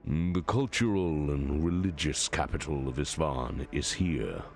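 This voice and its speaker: A man playing an authoritative warmaster giving a stern, measured briefing in a dramatic story reading. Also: extremely low pitch. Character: authoritative male warmaster briefing his commanders.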